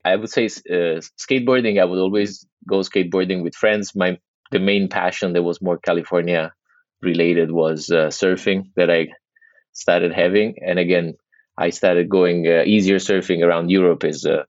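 A man's voice: sits low, steady tone, normal speed, dry recording, dull audio. A man in his late thirties from Italy with an Italian accent, speaking casually as an interview guest, hesitant with many restarts. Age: 30s.

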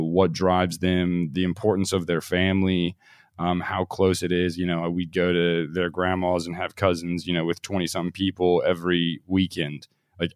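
A man's voice: low-pitched, a little mellow, clean tone, dry recording, clean studio sound. A man who is a guest on a podcast, talkative and telling stories in casual conversation with frequent laughs.

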